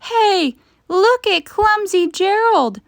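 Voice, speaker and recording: A woman voicing a mocking, loud jungle animal who sneers out taunts in a children's storybook. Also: very high voice, lively intonation, slow, gravelly, no echo, clean studio sound, voice pushed up, full character voice. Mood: mocking, sneering. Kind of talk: children's storybook read-aloud.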